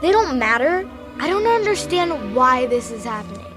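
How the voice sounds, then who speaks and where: A woman's voice: high-pitched, very animated, moderate pace. A woman playing an earnest, curious, worried young schoolgirl in an audio drama, with music behind her. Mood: troubled, earnest.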